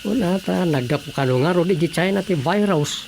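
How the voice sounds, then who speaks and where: An actor as a sensible village man in a Filipino radio drama. His voice is gravelly yet slightly high, with a Filipino accent and faint noise behind. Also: animated, moderate pace, a little mellow, poor audio. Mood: reasoning. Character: sensible village man reasoning with worried relatives.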